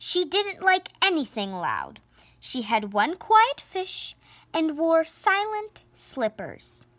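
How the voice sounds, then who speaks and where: Very slow, expressive woman reading a storybook. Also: very high-pitched, no echo. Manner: theatrical, emphasises sound words. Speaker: storybook reader. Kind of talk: expressive children's picture book read-aloud.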